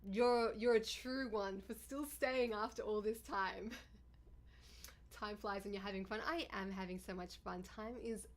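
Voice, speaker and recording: A woman with a deep, raspy voice, chatting casually with viewers on a livestream. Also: fairly even intonation, measured pace. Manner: chatty, bubbly, thanks viewers often. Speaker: livestreamer and YouTuber.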